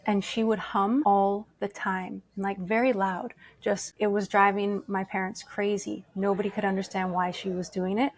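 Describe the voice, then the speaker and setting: Earnest woman with a flat, even voice. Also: mid-range voice, moderate pace, few pauses, no room sound. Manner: earnest, explanatory, many fillers. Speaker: guest, yoga teacher and activist. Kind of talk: conversational interview. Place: live conference recording.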